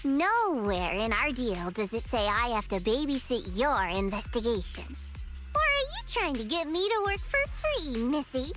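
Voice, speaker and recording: A woman voicing a proud young female investigator in an anime-style game, smug, teasing and brisk in manner. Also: fairly high pitch, sing-song, music in the background. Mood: teasing, dismissive. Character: proud, haughty young female investigator boasting of her record.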